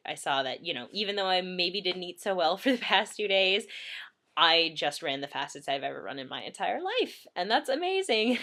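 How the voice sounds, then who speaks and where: A woman's voice: on the low side, a bit bright. A young woman in her twenties chatting casually from bed in a vlog, slightly congested, full of ums and little laughs. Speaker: vlogger. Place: bedroom, in bed.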